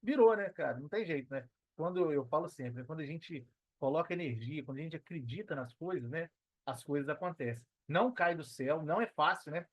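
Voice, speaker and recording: A man's voice: fairly high pitch, lively intonation, brisk, no room sound, dull audio. A Brazilian man in his thirties talking casually as a podcast guest, conversational and often checking for agreement with slangy tags. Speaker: podcast guest, personal trainer and affiliate marketer. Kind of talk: casual podcast interview talk.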